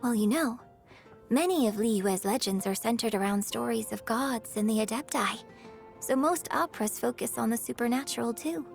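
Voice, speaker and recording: A young opera star from a video game, refined and earnest. Her voice sits fairly high with a slightly creaky edge. She words things formally and with genteel theatrical poise, over soft background music. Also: moderate pace. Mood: thoughtful, earnest. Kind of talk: video game character voice lines.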